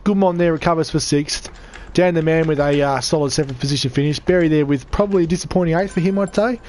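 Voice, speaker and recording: An upbeat man runs through the race results, his voice croaky and slightly higher than most. He chats easily with his audience. There is a faint noise under the recording. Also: fast talker, darkish tone. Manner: upbeat, chatty, reads out chat. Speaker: race commentator. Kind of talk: live sim racing commentary.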